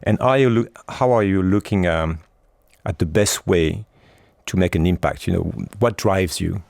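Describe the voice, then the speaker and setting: Deep-voiced male podcast host. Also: normal speed, vocal fry, darkish tone, dry recording. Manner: framing questions at length, with fillers. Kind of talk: podcast interview.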